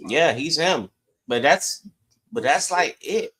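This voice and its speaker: A man co-hosting a casual sports discussion in a measured, slightly hesitant way. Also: a little high, lively intonation, a bit bright.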